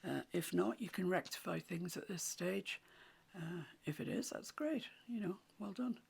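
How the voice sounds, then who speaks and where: A woman with a croaky voice, teaching a watercolour painting tutorial in soft, often half-whispered instructions. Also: lowish voice, moderate pace. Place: home room with a mantelpiece.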